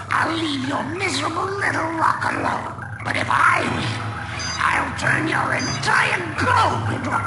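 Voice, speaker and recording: A man's voice: very high voice, creaky, full character voice. A man voicing a boastful, theatrical alien racing villain who taunts and threatens in a cartoon video game cutscene. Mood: gloating menace. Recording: echoing game audio under loud music.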